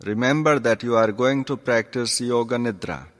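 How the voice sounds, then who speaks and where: A man teaching yoga through guided meditation, calm and deliberate, giving instructions in short measured phrases. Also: medium pitch. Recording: long reverberant hall sound.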